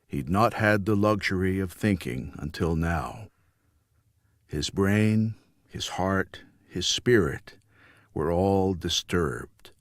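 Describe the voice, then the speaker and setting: Male audiobook narrator, lowish pitch, very unhurried, solemn, deliberate, scripted fiction reading. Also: animated, no echo, clean studio sound. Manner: measured, deliberate, solemn delivery.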